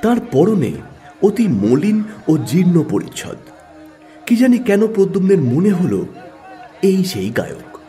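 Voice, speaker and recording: A man narrating a scripted mystery story as host, with measured, dramatic delivery. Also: sits high, sing-song, dark tone. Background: background music.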